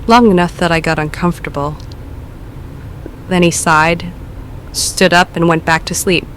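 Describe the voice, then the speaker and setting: An actress playing a nervous woman caller who talks in a hesitant, halting, self-deprecating way. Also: on the low side, fairly even intonation, a bit bright, a little hiss or hum. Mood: uneasy.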